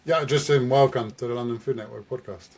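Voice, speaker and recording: Male podcast host from Venezuela, friendly conversational delivery, mid-pitched voice, relaxed interviewer. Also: fairly quick. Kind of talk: casual interview hosting.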